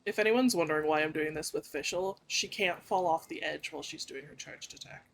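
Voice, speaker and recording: A woman's voice: low-pitched, fairly quick. A woman game streamer giving casual live gameplay commentary, informally thinking aloud as she plays. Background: faint game music.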